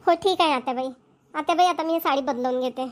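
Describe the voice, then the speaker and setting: A high, quick voice of a woman acting as a young village daughter-in-law in a homemade cartoon comedy. She is dutiful and bashful, with a Varhadi Marathi accent. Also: lightly acted. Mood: dutiful, earnest.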